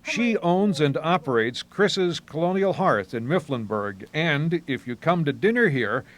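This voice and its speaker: A man's voice: a little high, expressive, a little slow. A man reading scripted narration for a television feature.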